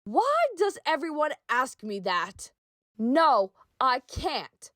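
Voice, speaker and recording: A woman voicing an insecure young fox creature in a comic dub, apologetic, confused and halting. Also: high-pitched, big pitch swings, a bit bright, no echo, clean studio sound, full character voice. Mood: confused, distressed. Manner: hesitant, halting. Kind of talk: animated comic dub acting.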